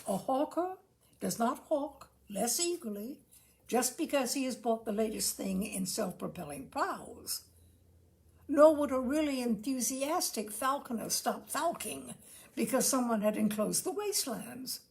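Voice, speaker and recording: A woman hosting a story reading, speaking and reading aloud in an expressive, deliberately phrased way. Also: a little high, gravelly. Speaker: host and reader of a short-story reading show. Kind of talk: live literary reading aloud with informal introductions.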